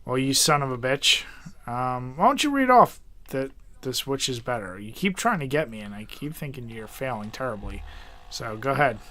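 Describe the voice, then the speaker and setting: A man co-hosting a podcast in casual banter about games, blunt, teasing and quick to swear. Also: mid-range voice, very animated, pushed and bright. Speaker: podcast co-host.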